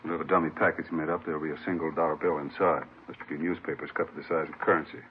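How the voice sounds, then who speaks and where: Very deep, flat, brisk police official. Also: male voice, narrow, tinny audio. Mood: calculating. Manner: flat, brisk, matter-of-fact. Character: calm, calculating male police official planning a trap. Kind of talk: 1950s radio drama acting. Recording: thin, faintly noisy vintage radio recording.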